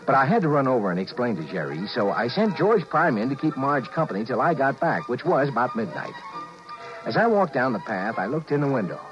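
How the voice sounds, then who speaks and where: A man acts out a sheepish American suburban husband in a 1950s radio play, telling his story wryly. His pitch swings widely as he exclaims and stammers. The recording is dull vintage radio with music in the mix. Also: sing-song, fairly quick, close to an everyday voice. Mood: rueful narration. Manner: stammering when flustered, exclaiming. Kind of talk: 1950s radio drama acting with wry first-person narration.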